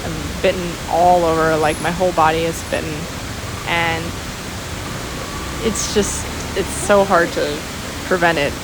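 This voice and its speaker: A woman doing a casual, musing voice-over in halting thoughts, recorded outdoors beside a waterfall. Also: fairly low pitch. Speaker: vlogger. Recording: steady waterfall noise behind voice. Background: steady rushing water.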